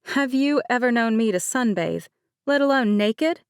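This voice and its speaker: A woman's voice: fairly high pitch, lively intonation, normal speed, clean tone, no echo, pitched above the performer's own voice. A direct, earnest young wedding planner with a firm, plain delivery, as a woman reads her lines. The sound is close and dry, with no room around it.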